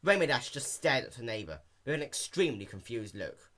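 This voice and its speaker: A man reading a story aloud as an amateur narrator, in an animated scripted style. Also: medium pitch, a little fast. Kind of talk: animated fan-fiction narration.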